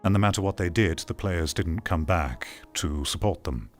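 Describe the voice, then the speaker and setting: Middle aged guy streamer, low voice, rambly and sardonic reacting to stuff, music behind him. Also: lively intonation, moderate pace. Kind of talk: casual live commentary.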